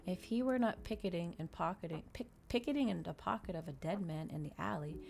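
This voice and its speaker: Low-pitched woman streamer over background noise. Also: fairly quick. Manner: soft-spoken, soothing when reading. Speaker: livestream host reading a novel aloud. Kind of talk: ASMR livestream, casual chat and soothing storybook reading. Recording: clear background noise, fireplace crackle ambience. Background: fireplace crackles and quiet ambient music.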